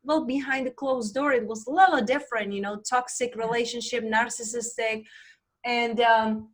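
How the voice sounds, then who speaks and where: A woman's voice: on the high side, fairly even intonation, normal speed. A woman in her thirties from the Czech Republic, with a Czech accent, telling her story earnestly in an interview as a life coach, with many fillers. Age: 30s.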